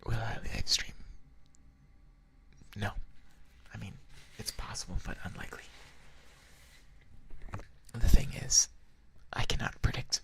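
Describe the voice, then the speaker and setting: A live streamer chatting casually to viewers in a hushed, breathy whisper, unhurried and conversational, in an ASMR style. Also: medium pitch, fairly even intonation.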